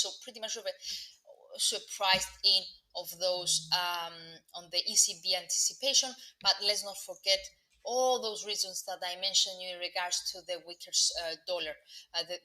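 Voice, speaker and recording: A young Greek Cypriot woman walks viewers through live market commentary in a very bright, crisp-toned voice. She hesitates often, filling gaps with ums and restarting phrases, and breaks off to address the audience directly. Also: on the low side, poor audio. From Cyprus. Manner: hesitant, many fillers and restarts, chatty asides to viewers. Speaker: market analyst presenting a live webinar.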